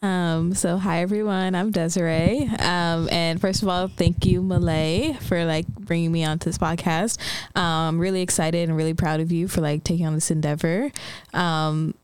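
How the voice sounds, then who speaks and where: A woman's voice: on the low side, fairly even intonation, normal speed, a little mellow, smooth, no room sound. A young woman in her twenties from Maryland, a student guest on a podcast, talking in a friendly, hesitant way with many fillers. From Maryland, USA. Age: 20s. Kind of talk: casual podcast chat.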